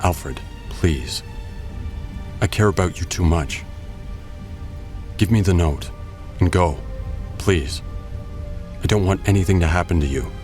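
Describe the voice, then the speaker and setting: An actor playing a grave, guarded vigilante hero in an audio drama, speaking in a serious, restrained manner. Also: male voice, low-pitched, slow, long pauses, a little mellow, soft background music. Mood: grave concern.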